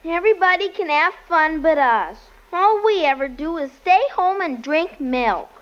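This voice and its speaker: A woman's voice: very high-pitched, lively intonation, unhurried. An American female performer playing a sulky, plaintive little girl, the youngest child in an old-time radio family comedy. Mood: whiny, plaintive. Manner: plaintive whining. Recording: very noisy, dull old radio recording.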